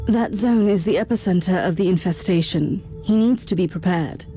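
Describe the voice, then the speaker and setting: An actress playing a stern, sceptical imperial inquisitor in a video game, curt and commanding. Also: female voice, fairly low pitch, normal speed, warm tone, faint music behind, natural voice. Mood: stern, scornful.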